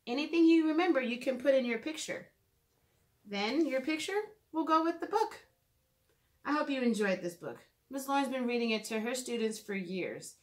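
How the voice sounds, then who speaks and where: Gentle woman teaching preschoolers to draw. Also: a little high. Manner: gentle, simple wording, thinking aloud while drawing. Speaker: children's art and reading teacher. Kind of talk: simple narrated drawing lesson for preschoolers. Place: living room at home, indoors. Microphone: camera a few feet away.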